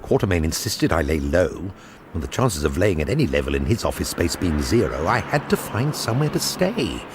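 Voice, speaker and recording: An actor playing a weary, put-upon art forger on the run in a radio drama, wry and sometimes hesitant. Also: male voice, sits low, very animated, a little fast, noisy background. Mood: brooding. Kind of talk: radio drama acting and first-person narration.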